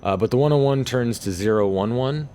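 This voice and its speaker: A man's voice: medium pitch, a little fast, mellow, slight background noise. A man giving casual, laid-back and sometimes sweary commentary over his own video game play. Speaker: gamer narrating his own gameplay video.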